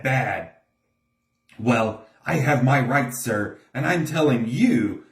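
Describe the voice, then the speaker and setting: A man voicing a stubborn, greedy storybook businessman, defiant, boastful and blustering. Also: mid-range voice. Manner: blustering, emphatic. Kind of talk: storybook character reading. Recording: echoey room.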